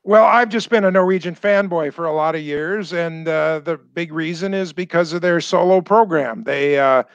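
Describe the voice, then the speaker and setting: An older man on a video call with a headset, chatting in a halting way full of pauses and restarts. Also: fairly high pitch, gravelly, clean tone, dry recording, highs cut off. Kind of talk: casual chat. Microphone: headset mic on a video call.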